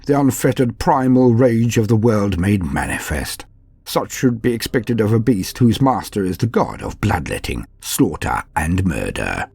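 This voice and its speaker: A man with a gravelly, husky voice hosts a fantasy lore show, narrating in a dramatic, portentous and formal manner. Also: fairly low pitch, a little mellow, no room sound. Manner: theatrical, portentous, formal diction. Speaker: host of a fantasy lore channel.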